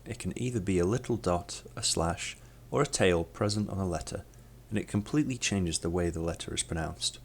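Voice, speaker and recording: Deep, gravelly young man teaching. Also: a little hiss or hum. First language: Polish. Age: young adult. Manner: measured, explanatory, demonstrates sounds. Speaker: student presenter. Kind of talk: scripted language lesson.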